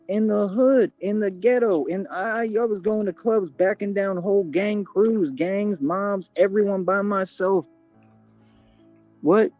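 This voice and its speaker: Brief: man, bragging and challenging, fairly high voice, lo-fi dry stream clip. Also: measured pace, dry recording, soft background music. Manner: boastful, confrontational, repetitive slang. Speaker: livestreamer. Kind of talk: boastful livestream rant. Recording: dull, dry lo-fi stream audio.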